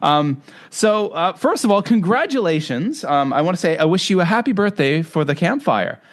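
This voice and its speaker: A man's voice: sits high, sing-song, normal speed. A man from Canada with a Canadian accent, hosting a podcast in a conversational interview style. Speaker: podcast host.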